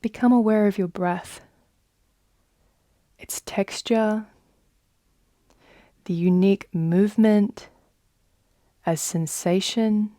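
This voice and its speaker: A woman leading a guided meditation in a soft, soothing manner, with long unhurried pauses. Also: mid-range voice, fairly even intonation, no room sound, clean studio sound. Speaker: yoga nidra guide.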